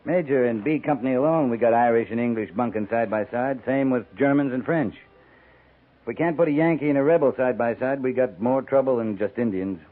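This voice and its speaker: An actor playing a plain-spoken, steady cavalry captain in a 1950s radio western, terse and dryly understated. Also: male voice, medium pitch, a little fast, natural voice. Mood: dry, measured. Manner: terse, folksy, dry understatement. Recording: tinny 1950s radio broadcast recording.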